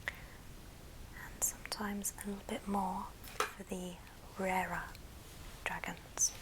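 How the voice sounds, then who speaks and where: A woman hosting an ASMR show and tell in a soft, breathy whisper, speaking gently in short phrases. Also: medium pitch, little pitch movement, slow pace, low-quality recording. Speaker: ASMR video host.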